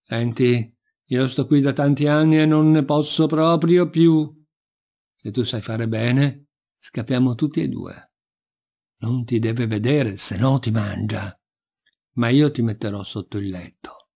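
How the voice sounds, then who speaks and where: A man's voice: medium pitch, a little slow, creaky, mellow. A man voicing an ogre's young wife, brave, weary and protective, in a storybook reading. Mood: urgent, conspiring.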